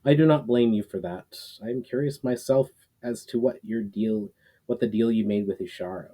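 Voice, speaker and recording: A man's voice: fairly low pitch, warm tone, natural voice. Man reading as grave, ancient male mentor. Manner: solemn, formal, deliberate.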